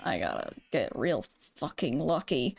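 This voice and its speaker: A woman's voice: very deep voice, very animated, measured pace, creaky, a little mellow. A woman with a scratchy, strained voice, talking bluntly through a game and swearing often. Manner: blunt, frequent swearing, rambling. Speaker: gaming streamer. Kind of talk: casual gameplay commentary. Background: game music.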